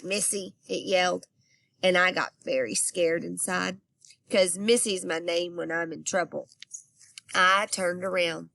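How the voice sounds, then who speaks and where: A woman's voice: lowish voice, vocal fry, bright, forceful tone, no room sound. A woman teacher reading a children's book aloud expressively and asking her young listeners questions.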